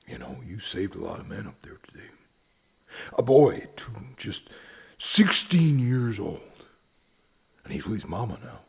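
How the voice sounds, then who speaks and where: A man acts out a stern but compassionate sheriff of the Old West for an audio drama. His is very husky and creaky, delivered slowly and emphatically, sinking into breathy lows. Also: sing-song, exaggerated voice. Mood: somber, consoling. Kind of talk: Western audio drama acting.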